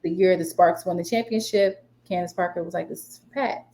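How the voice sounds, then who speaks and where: Mellow-voiced woman in her twenties. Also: fairly low pitch, fairly quick. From DC area. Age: 20s. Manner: relaxed, chatty, many fillers and restarts. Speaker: guest, sports media writer. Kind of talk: casual interview talk.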